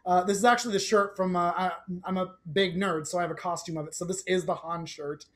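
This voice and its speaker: A man's voice: high-pitched, steady tone, quick pace, fairly bright tone. A young man, a voice actor, casually explaining himself on a group video call. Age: young adult. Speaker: voice actor in a cast Q&A.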